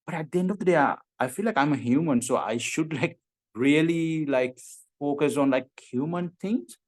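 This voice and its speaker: A man's voice: on the high side, normal speed. A young man hosting a casual interview, talking informally and somewhat hesitantly, with "like" peppered through his sentences. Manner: hesitant, informal, heavy use of "like". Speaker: show host, master's student.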